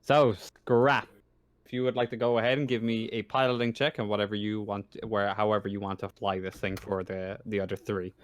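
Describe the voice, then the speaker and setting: A man's voice: fairly low pitch, level tone, fairly quick, no echo. A man acting as game master in a casual tabletop roleplaying chat, informal and joking, with some swearing.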